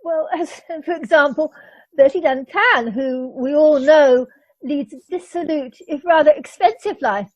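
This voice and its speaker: A woman's voice: sits high, measured pace, no echo, highs cut off. A woman playing a prim, catty upper-class Edwardian lady in an amateur radio play, speaking in a formal, sniffy way.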